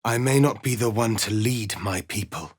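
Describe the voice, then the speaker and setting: An actor playing a proud, righteous rebel warrior prince in a fantasy video game, speaking in a solemn, formal, declamatory way. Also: male voice, fairly low pitch, moderate pace, slightly rough, no room sound, studio quality. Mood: grave, resigned.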